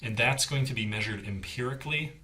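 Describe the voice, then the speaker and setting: A man's voice: mid-range voice, fairly quick, bright, forceful tone. A man giving an explanatory technical lecture as an engineering instructor, explaining things plainly and step by step.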